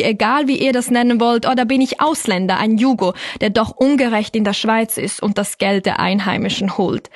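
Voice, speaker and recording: A woman's voice: fairly high pitch, dry recording, clean studio sound. A woman in her early twenties from Switzerland, with a Swiss German accent. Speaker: slam poet, interview guest.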